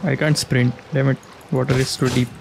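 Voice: mid-range voice, level tone, brisk, vocal fry. A streamer giving casual, giggly playthrough commentary, reacting to the characters. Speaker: streamer playing a game. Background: game music.

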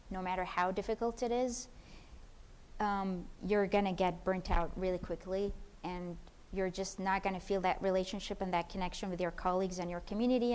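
A woman's voice: mid-range voice, clean tone. A woman speaking on a conference panel, answering questions in an earnest, thoughtful way with frequent restarts. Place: conference hall stage.

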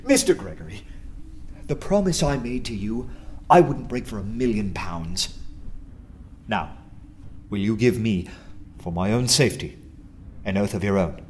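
An actor playing a bold undercover detective in a radio drama, sardonic and animated. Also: male voice, lowish voice, unhurried, pauses often, slight background noise. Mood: earnest, secretive. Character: wry, bold undercover detective posing as a poet. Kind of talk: full-cast radio drama acting.

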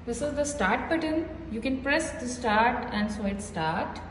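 A woman vlogger giving a slow, simple walk-through of a small indoor gym, filming on her phone and pointing out each machine. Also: on the high side, expressive, a little hiss or hum. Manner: simple repetitive pointing-out explanations. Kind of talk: casual gym tour vlog. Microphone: phone held while filming. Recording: echoey room with hall reverb.

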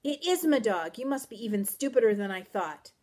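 A woman's voice: a little high, lively intonation, fairly quick, darkish tone. A woman voicing a headstrong young girl heroine in an audiobook story, exclaiming with strong emotion. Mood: impatient, defiant.

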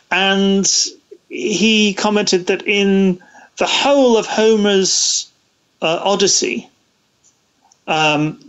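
High-pitched man explaining haltingly, dull audio. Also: a bit bright. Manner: halting, discursive, with false starts. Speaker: interview guest. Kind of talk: explanatory interview conversation.

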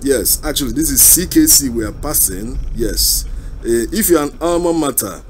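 A man with a Nigerian accent giving unscripted road-trip commentary as a vlog host, haltingly, searching for words with many uhs. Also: mid-range voice. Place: inside a car driving through town. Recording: steady vehicle and road noise. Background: vehicle and street noise.

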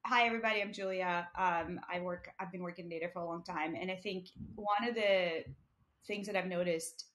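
A woman panelist chats in a relaxed, conversational way over a video call. Her voice sits a little low. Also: fairly quick.

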